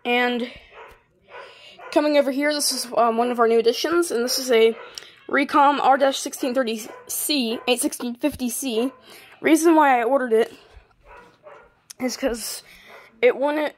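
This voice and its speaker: A hobbyist showing off a collection in a casual, halting, offhand way, filmed on a handheld device in a bedroom. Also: high-pitched, steady tone, a little hiss or hum. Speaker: hobbyist video maker. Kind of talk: casual show-and-tell of a collection. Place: small carpeted bedroom, indoors. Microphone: handheld phone or camera, moving around.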